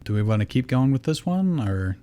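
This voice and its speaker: Man narrating a story, mid-pitched voice. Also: sing-song, fairly quick, dark tone. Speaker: reader and host of fan fiction readings. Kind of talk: animated story narration with casual asides.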